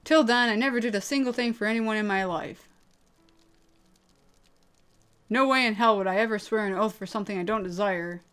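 A woman's voice: mid-range voice, fast, fairly bright tone, close to an everyday voice. Woman doing a brash, hot-tempered young man. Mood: menacing. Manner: gruff, blunt, impatient. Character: hot-tempered, brash young male killer named Zack. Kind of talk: anime-style dramatic character acting.

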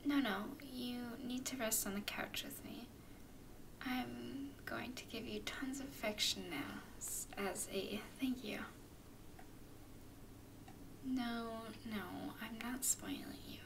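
A woman playing a tender, doting vampire girlfriend in a soothing ASMR roleplay, mostly in breathy whispers. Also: fairly high pitch, recorded from a distance, background noise. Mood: caring, proud. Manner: soothing, affectionate, unhurried. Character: tender, doting female vampire girlfriend comforting her nervous partner.

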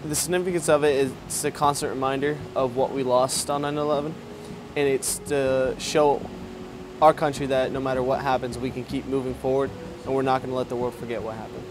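A man's voice: medium pitch, fairly even intonation, fairly quick, music in the background. A young man sailor from California speaking earnestly and a little hesitantly in an office. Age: young adult. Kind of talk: recorded testimonial. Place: office with a mural.

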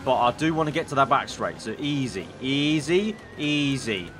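A young man commentating a racing game, excitable and slightly hoarse, breaking into shouts at crashes. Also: mid-range voice, normal speed, noisy background. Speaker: gaming YouTuber.

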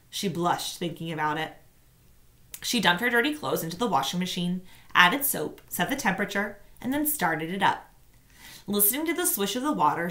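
A woman in her thirties, a teacher, reading a children's story aloud in expressive narration at home. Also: lowish voice. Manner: expressive, acts out dialogue. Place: small room at home, indoors.

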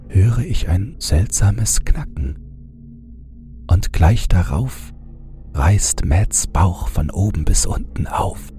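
A man narrating a scripted horror audiobook in a deliberate, suspenseful manner, sometimes hushed. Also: low voice, a little hiss or hum.

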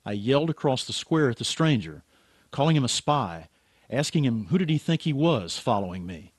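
Radio drama lead: male actor as stubborn, hard-drinking hack writer, bitter and brooding, mid-pitched. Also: very animated, moderate pace, breathy edge. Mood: tense narration. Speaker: actor and narrator. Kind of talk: radio drama acting and first-person narration.

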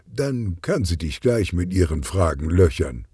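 A cheerful, teasing woman from a children's storybook, as a male narrator puts her on. She chats and explains in a friendly way, with a husky, mellow voice and animated melody. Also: low voice, a little slow, no room sound, clean studio sound, heavily acted. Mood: cheerful explaining. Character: cheerful, chatty, teasing adult woman organising a party.

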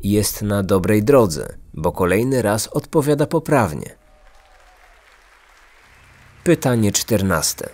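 A man's voice: on the low side, sing-song, slow, long pauses, a little mellow, some chatter behind. A man in his thirties narrating a scripted true-crime story as a podcast host. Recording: music under the voice.

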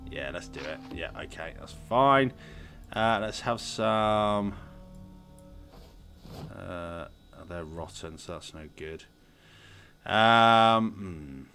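A man's voice: low-pitched, expressive, slow, long pauses, bright, forceful tone, soft background music. A man with a sniffly cold, casually commenting on his gameplay and thinking aloud with lots of fillers. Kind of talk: casual let's-play commentary.

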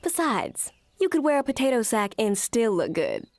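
A woman's voice: a little high, huge pitch swings, moderate pace, a little mellow, no room sound, studio quality. A woman voicing a breezy, supportive young friend in a video game.

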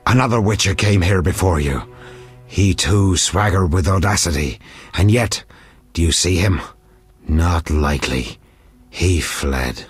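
An actor playing a stern, zealous old priest of a fire cult in a fantasy game, solemn and preachy. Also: male voice, low-pitched, unhurried, slightly rough, soft background music, heavily acted. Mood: solemn, scornful. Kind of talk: fantasy video game dialogue.

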